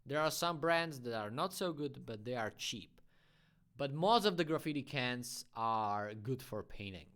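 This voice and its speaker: A man's voice: medium pitch, lively intonation, unhurried, a bit bright. A man who speaks English as a second language, hosting a casual livestream Q&A as a graffiti artist and chatting frankly through his opinions. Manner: chatty and frank, giving opinions with friendly asides.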